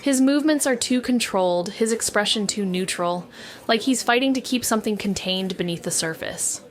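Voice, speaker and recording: A woman's voice: medium pitch, moderate pace, lightly acted. A young woman playing a cautious, anxious former child detective who narrates a noir audio drama. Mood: tense, brooding. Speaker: narrator and lead actor.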